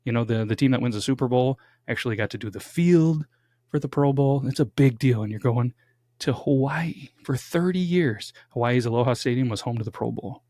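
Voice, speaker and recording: A man's voice: mid-range voice, fairly quick, dark tone, no room sound, studio quality. A young man co-hosting a casual true-crime podcast from home, chatty and jokey with dramatic build-ups. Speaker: podcast co-host. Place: home studio.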